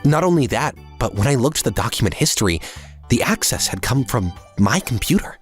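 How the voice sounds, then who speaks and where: A man's voice: medium pitch, very animated, a little fast, faint music behind, natural voice. A man playing an earnest, polite young businessman who narrates his own story in a comic drama dub. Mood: serious. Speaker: voice actor narrating and playing the lead.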